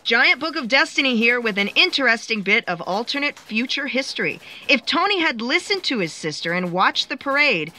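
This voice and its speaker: An officious, all-knowing magic book voiced by a woman, fairly high and forceful. It declaims comic sci-fi history with great self-importance. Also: very animated, moderate pace, few pauses, full character voice. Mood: pompous. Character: officious narrating Giant Book of Destiny. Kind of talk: scripted comic sci-fi narration.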